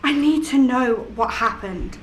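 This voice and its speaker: A woman's voice: high voice, lively intonation, measured pace, roomy sound, slight background noise, low-quality recording. An actress playing the ghost of a young murdered woman, distraught and prone to outbursts.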